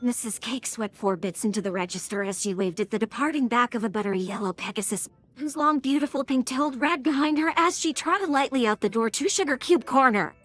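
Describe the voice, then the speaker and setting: A woman's voice: fairly high pitch, animated, brisk, fairly bright tone. A woman giving a scripted, storybook-style narration as the narrator of a tale.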